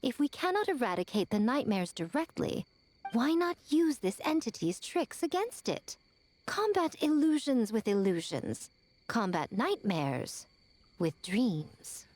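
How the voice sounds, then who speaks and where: A woman's voice: on the high side, big pitch swings, measured pace, darkish tone, a little hiss or hum. An actress playing a sly, confident, teasing woman in a video game, with a poised and theatrical delivery. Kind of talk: video game cutscene acting.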